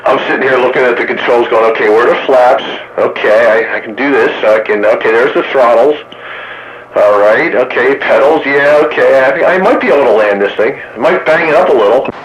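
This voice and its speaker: A man's voice: medium pitch, fairly quick. A man chatting casually and telling joking anecdotes over a two-way radio. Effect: two-way radio transmission. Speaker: amateur radio operator chatting on a radio round table. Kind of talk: casual chat. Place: radio transmission picked up by a receiver. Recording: muffled, staticky radio reception with faint noise.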